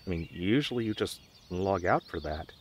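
A young man playing a dorky, nervous, protective gamer best friend in an audio roleplay, with halting, awkward delivery. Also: deep voice, expressive, background noise. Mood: worried, musing. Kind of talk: audio roleplay drama, conversational.

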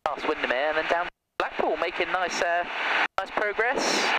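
A man's voice: high-pitched, expressive, normal speed. A young man, a pilot, chatting casually for a vlog through a headset while flying a small plane. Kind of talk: casual in-flight vlog chat. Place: small aircraft cockpit in flight. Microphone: aviation headset. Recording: loud aircraft engine noise.

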